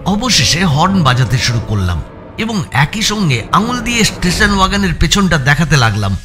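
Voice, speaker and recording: A man reading a scripted thriller as narrator, with a suspenseful, theatrical delivery. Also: fairly high pitch. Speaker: story narrator. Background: background music.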